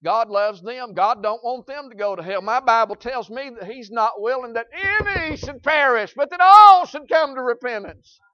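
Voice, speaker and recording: Folksy Southern preacher man, high voice. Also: expressive, moderate pace, few pauses, no room sound, highs cut off. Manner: folksy, repetitive, swings from loud shouts to quiet asides. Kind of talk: sermon.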